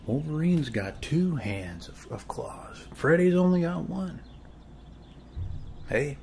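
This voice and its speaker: A man playing a friendly, rambling middle-aged suburban neighbor in an ASMR role-play, with an American accent and a soft, hesitant, folksy delivery. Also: a little high, slow pace, vocal fry, heavily acted. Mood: friendly, chatty. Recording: faint steady background noise.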